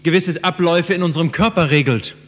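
A man's voice: fairly high pitch, fast talker, fairly bright tone. A man interpreting a sermon phrase by phrase for the listeners. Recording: muffled, reverberant hall.

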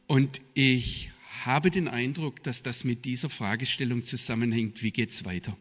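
A middle-aged man with a southwest German accent giving a public lecture in an emphatic, deliberate manner. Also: fairly high pitch, a bit bright, slightly rough. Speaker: guest speaker, journalist and theologian. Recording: reverberant hall, long echo.

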